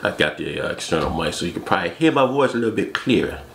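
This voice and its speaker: Deep-voiced man in his forties, relaxed home vlogger, recorded in an echoey room on a camera-top mic. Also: fast, vocal fry. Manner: relaxed, easygoing, jokey asides. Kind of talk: casual chat to camera. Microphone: external mic on top of the camera. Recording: echoey room with background noise.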